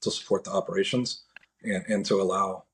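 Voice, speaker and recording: An American man as a podcast guest, giving a casual, measured and somewhat halting first-hand account. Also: low voice, fairly even intonation, rough recording. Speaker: podcast guest, National Guard air traffic controller.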